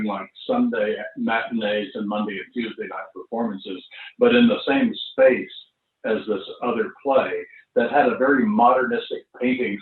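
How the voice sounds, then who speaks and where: A man's voice: mid-range voice, narrow, tinny audio. A man casually recalling a theatre production, recorded thin and distant in a roomy space. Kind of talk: casual recollection.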